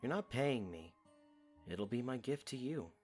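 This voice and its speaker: Woman voicing a bashful young man, swinging pitch. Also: animated, a little slow, a little mellow, slightly rough, soft background music, full character voice. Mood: modest, pleased. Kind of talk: audiodrama acting.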